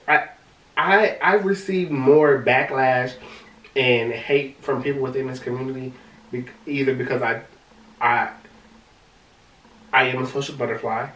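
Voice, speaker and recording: A man with a high-pitched voice, co-hosting a casual podcast chat, talking in an animated way and often restarting his words. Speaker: podcast co-host. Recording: roomy sound from a distant mic.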